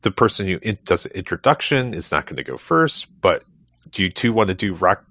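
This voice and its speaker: A man's voice: deep voice, very animated, a little fast, bright, forceful tone, no room sound. A man hosting a casual travel podcast, talking in an upbeat way with some fillers. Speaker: podcast host.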